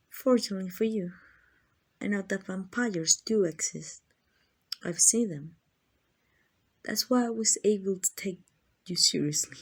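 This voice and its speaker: An arrogant female vampire, acted by a woman for an ASMR roleplay. She talks slowly in a mid-range voice, toying with the listener in a condescending, possessive way. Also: medium pitch, husky. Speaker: amateur voice actor.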